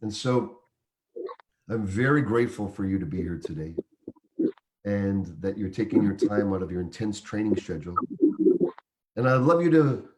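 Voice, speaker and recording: A man's voice: on the low side, animated, moderate pace, pauses often, darkish tone, rough recording. A man born in Uganda hosting a livestream interview, introducing his guest with effusive, reverent praise. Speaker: host.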